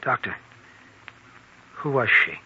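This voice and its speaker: An actor playing an earnest, conscience-stricken young doctor in a 1940s radio drama, speaking in a subdued way. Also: male voice, slow, pushed and bright. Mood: anxious. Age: young adult. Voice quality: very husky, breathy. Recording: dull old radio recording.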